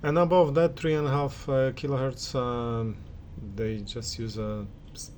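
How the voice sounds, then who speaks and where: A man's voice: medium pitch, flat delivery, unhurried, darkish tone, a little hiss or hum. A man gives an unscripted spoken review of audio equipment, speaking hesitantly and often searching for his words. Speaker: audio reviewer giving listening impressions.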